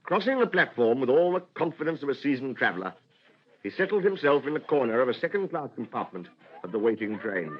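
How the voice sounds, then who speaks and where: An actor playing a genteel storyteller, narrating a radio drama with a British accent in a formal, deliberate, literary manner. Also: male voice, medium pitch, big pitch swings, husky. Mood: measured, reflective. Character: genteel, measured storyteller recalling an old friend. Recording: dull, muffled radio recording.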